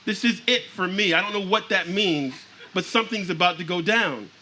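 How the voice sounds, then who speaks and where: Man on a panel, super high bright voice, exclaims a lot and laughs while talking. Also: moderate pace. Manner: exclamatory, laughs through his lines. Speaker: panelist author. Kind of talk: storytelling on a panel. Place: live panel event.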